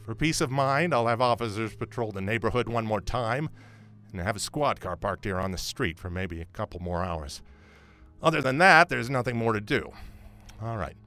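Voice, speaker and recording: A man acting as a brusque police sergeant in an audio drama. His voice is low, with an authoritative, scornful and dismissive delivery, and music plays clearly behind him. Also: animated, moderate pace, pushed and bright. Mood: matter-of-fact, dismissive.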